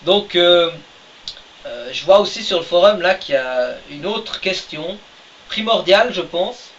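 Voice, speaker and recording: High-voiced man chatting with lots of hesitations. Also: expressive, a little slow, a bit bright. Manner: hesitant, many fillers, joking. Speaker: podcast co-host, writer of the story. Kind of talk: casual chat. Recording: distant mic, dull lo-fi sound, faint hiss.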